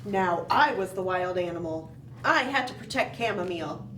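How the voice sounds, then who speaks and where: Woman reading a storybook, roomy distant sound. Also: on the low side. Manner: expressive, dramatic pauses, questioning asides. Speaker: children's library storytime host. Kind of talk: animated picture-book read-aloud with asides to young children. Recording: roomy, distant mic, faint background noise.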